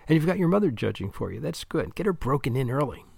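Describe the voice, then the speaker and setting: A man voicing a sharp, impatient teenage girl who captains a debate team and lectures and needles with quick comebacks. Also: medium pitch, very animated, creaky, husky, dry recording, clean studio sound, voice pushed up, heavily acted. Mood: needling.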